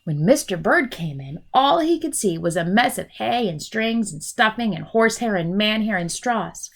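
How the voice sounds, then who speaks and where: A woman teacher reading a children's storybook aloud in an expressive, explanatory way for young listeners. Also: medium pitch, moderate pace.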